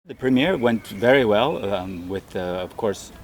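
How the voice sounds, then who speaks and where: A man speaking as a theatre director in a casual interview, hesitant and often pausing mid-sentence. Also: on the low side, animated, slight background noise. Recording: crowd chatter behind the voice. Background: crowd of people.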